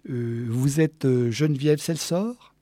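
A man's voice: mid-range voice, takes it slowly, warm tone, dry recording. A man voicing a nervous, polite, reluctant man in a storybook reading, hesitant and reserved.

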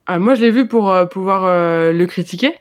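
A young adult in casual discussion, talking hesitantly with many pauses and filler sounds. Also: medium pitch, no room sound.

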